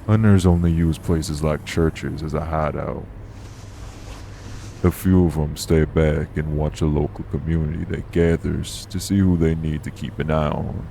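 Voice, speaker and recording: A man playing a steady, guarded, streetwise vampire traveler in an audio roleplay, matter-of-fact with folksy clipped word endings. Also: very deep voice, gravelly, a little hiss or hum, exaggerated voice.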